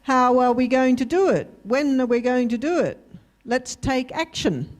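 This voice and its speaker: A woman's voice: high-pitched, expressive. A woman co-leading a workshop, going through steps one by one and prompting the group with questions. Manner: slow, step-by-step, prompting the group. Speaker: workshop co-facilitator. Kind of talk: interactive workshop teaching. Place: university hall workshop.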